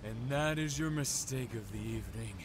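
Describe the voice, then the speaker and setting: A man's voice: medium pitch, moderate pace, natural voice. An actor playing a sadistic, boastful male serial killer in an audio drama, with theatrical, taunting menace. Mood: taunting frenzy. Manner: theatrical, swinging from quiet menace to raging shouts.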